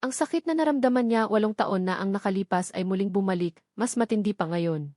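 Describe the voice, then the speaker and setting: A woman narrator reads a scripted romance drama. Her delivery is dramatic storytelling at a middle pitch, recorded dry and close. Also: fairly quick, darkish tone, dry recording.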